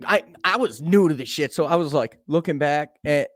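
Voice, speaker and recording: A young man with a fairly high voice for a man rambles along in casual talk, filling every pause with "bro" and "like" and laughing easily. He is heard close and dry, with no room sound. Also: lively intonation, no room sound. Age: 20s. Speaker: podcast co-host. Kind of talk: casual chat.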